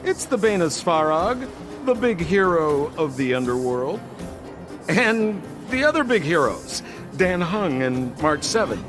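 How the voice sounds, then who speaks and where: A man's voice: a little high, very animated, measured pace, music in the background. A man voicing a showy, theatrical character with a salesman's patter in a video game. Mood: showy, boastful.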